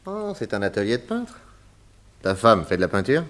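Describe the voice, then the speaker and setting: Low-voiced, inquisitive male confidant. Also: sing-song, moderate pace, fairly bright tone. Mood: curious. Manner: probing, casual reactions. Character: inquisitive, wry male old friend and confidant. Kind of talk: French radio serial acting.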